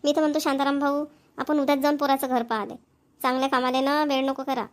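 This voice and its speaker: A woman's voice: high-pitched, little pitch movement, fast talker, natural voice. A woman voicing an eager, practical village wife in a homemade cartoon, chatty and insistent in Marathi with a Vidarbha accent. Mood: eager, urging.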